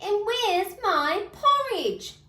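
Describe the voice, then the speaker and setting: Cross fairy tale bear, played by a woman: indignant, demanding, drawn-out questions in an echoey room. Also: very high voice, big pitch swings, pushed and bright, voice pushed up, full character voice. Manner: demanding, crying out. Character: indignant storybook family of scowling bears.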